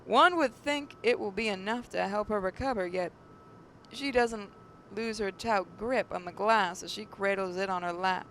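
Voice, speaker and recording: A woman reads story narration aloud in a dramatic style at a middling pitch, sometimes tripping over her words. There is a noticeable low rumble of noise behind her. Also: moderate pace, fairly bright tone, low-quality recording. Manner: stumbles over words while reading. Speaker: gaming channel narrator reading a visual novel aloud.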